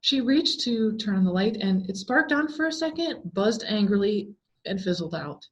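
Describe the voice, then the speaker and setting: A woman reading a scary story aloud as a dramatic, expressive scripted narration full of suspense. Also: medium pitch, normal speed. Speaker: story reader.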